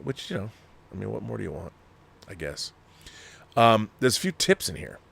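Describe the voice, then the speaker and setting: Low-voiced man rambling with emphatic opinions. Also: big pitch swings, gravelly, fairly bright tone, breathy edge. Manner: rambling, emphatic, halting mid-sentence and rephrasing. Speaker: host of a film discussion show. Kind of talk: casual opinionated commentary, reading viewer letters.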